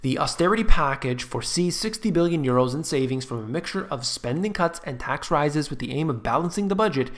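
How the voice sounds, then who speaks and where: A man's voice: fairly high pitch, animated, a little fast, few pauses. A man giving emphatic, scornful commentary on finance charts in a screen-share voice-over. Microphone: voice-over on screen recording.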